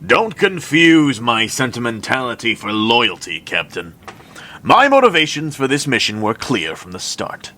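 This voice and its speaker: An actor playing a proud, haughty half-human undersea king in an audio drama, formal and forceful. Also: medium pitch, very animated, measured pace, slight background noise. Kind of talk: cinematic audio drama acting.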